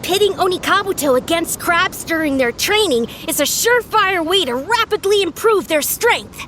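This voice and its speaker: A woman's voice: extremely high pitch, expressive, normal speed, fairly bright tone, slight background noise. A woman voicing an earnest, self-important little beetle-battle grandmaster in a video game, formal, lecturing and theatrical. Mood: proud, instructive. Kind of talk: animated video game voice acting.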